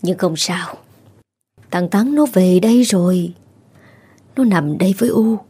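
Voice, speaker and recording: A woman's voice: lowish voice, measured pace, warm tone, slightly rough. A woman voicing a grieving, vengeful old village mother in a horror story, cold and bitter. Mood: cold menace.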